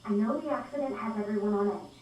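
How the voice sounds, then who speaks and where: A woman's voice: medium pitch, fast, a little mellow, lo-fi sound, close to an everyday voice. An actress playing a principled, defiant female scientist who stands her ground in a video game cutscene. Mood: pleading, firm. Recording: very echoey, distant, faint noise.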